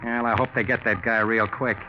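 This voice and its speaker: An actor playing a chatty, grumbling streetwise hobo with folksy slang, in 1940s radio drama style. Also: male voice, fairly low pitch, brisk, lightly acted. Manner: folksy slangy talk, animated. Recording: muffled old radio recording with faint noise.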